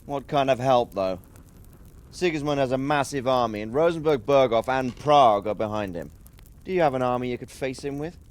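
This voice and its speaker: A man's voice: mid-range voice, brisk, lightly acted. A man doing a wary lord poking at the plan in a game. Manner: wary, probing questions. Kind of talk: video game cutscene acting.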